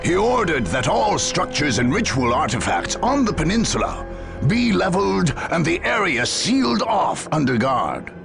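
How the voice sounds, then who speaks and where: Male narrator, war-game cutscenes: grave, solemn chronicler, lively intonation, creaky edge, music underneath. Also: on the high side. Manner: solemn, measured storytelling. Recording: music behind the voice.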